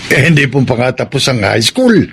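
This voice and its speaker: An actor playing a calm, protective old grandfather in a radio drama, with a Filipino accent. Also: mid-range voice, normal speed, vocal fry, husky, full character voice. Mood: gently admonishing.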